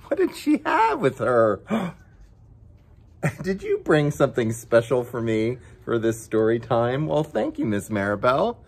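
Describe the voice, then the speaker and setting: Male children's story time reader: very animated, husky-edged, playful and chatty, speaking straight to the kids. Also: medium pitch, measured pace. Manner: playful, coaxing, talks directly to young viewers. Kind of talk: animated children's picture book read-aloud with chatty asides.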